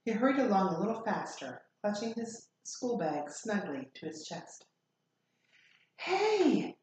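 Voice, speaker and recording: A woman's voice: mid-range voice, lively intonation, moderate pace, darkish tone. Very echoey woman reading a story aloud. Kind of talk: expressive story read-aloud. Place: echoey room. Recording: very echoey room, faint noise.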